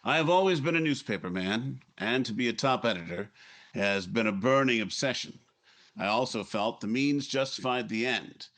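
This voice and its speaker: A man's voice: mid-range voice, lively intonation, moderate pace, fairly bright tone. A man playing a brash, driven newspaper editor in his forties, curt and self-assured, in a 1950s radio drama. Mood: driven, reflective. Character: brash, driven forty-year-old male newspaper editor. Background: music.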